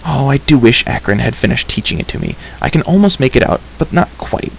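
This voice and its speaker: A man's voice: medium pitch, expressive, fairly quick, gravelly, slight background noise, poor audio. A man voicing a headstrong, impatient girl in an emphatic, chattering way for a storybook reading. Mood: frustrated. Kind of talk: audiobook character reading.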